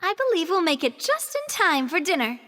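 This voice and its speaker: A woman playing a genteel, spirited young female boss in a video game, speaking in a polite, expressive, theatrical way. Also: very high voice, normal speed, long reverb tail, full character voice. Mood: warm, eager. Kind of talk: video game character voice acting.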